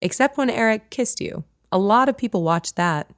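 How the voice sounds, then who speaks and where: A playful, goading woman friend who loves to needle her, read by a woman narrator. Her lines come out louder and a touch higher than the narration. An audiobook dialogue voice. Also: expressive, normal speed, dry recording, studio quality, close to an everyday voice. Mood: teasing. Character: teasing, sly woman friend.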